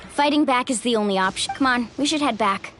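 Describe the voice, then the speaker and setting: A woman acting as a brave young female guide from a fantasy game speaks in a fairly high, decisive voice. She is protective and upbeat, with clear ambient noise behind her. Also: brisk, a bit bright, lightly acted. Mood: determined.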